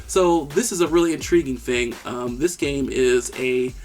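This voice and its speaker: A man's voice: mid-range voice, normal speed, a little mellow, soft background music. A man co-hosting a casual video game podcast, talking with enthusiasm and frequent laughs and jokey asides. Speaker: podcast co-host. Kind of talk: casual chat about video games.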